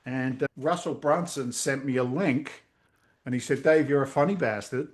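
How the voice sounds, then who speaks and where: A middle-aged man, a real estate investor telling stories in a casual interview over a video call, lively and blunt, acting out voices. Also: mid-range voice, normal speed, a little hiss or hum. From Massachusetts. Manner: animated storyteller, acts out quoted voices, swears casually.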